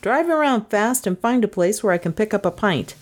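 A woman voicing a casual, wry male detective in a crime story, with a brisk, bossy manner. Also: medium pitch, animated, voice pushed up, lightly acted. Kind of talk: storybook character voice in crime fiction.

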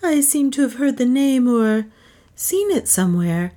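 A woman voicing a starry-eyed, fawning woman office worker in a storybook, with a breathy, smitten manner. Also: sits high, sing-song, unhurried, dark tone, pitched above the performer's own voice. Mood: astonished.

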